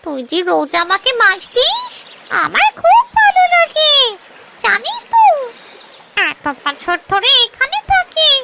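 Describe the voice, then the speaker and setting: A woman's voice: very high voice, huge pitch swings, a little slow, creaky, heavily acted. A woman voicing a sulky but affectionate female ghost in a Bengali cartoon story. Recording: strong noise behind the voice.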